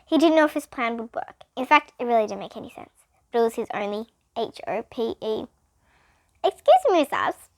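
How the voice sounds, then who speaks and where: A woman's voice: a little high, fairly quick. A female reader narrating a children's storybook aloud, with occasional explanatory asides.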